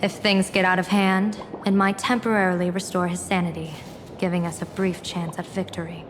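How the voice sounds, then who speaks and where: A woman playing a composed, solemn seer general in a video game, speaking in formal, measured, portentous phrases. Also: on the low side, little pitch movement, background noise, lightly acted. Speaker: general and diviner. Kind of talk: scripted video game acting. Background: game music.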